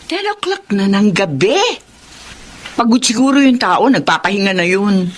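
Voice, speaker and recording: A woman's voice: medium pitch, big pitch swings, normal speed. A woman playing a bossy, protective, skeptical older sister in a Filipino radio drama. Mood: reassuring, exasperated.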